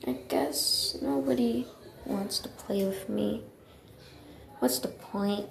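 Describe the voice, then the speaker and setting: A woman voicing an earnest, eager young apprentice cat in a childlike, pleading way, as for animated cartoon lines. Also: medium pitch, slow, gravelly, roomy sound. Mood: dejected. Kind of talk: character voice lines for a fan animation.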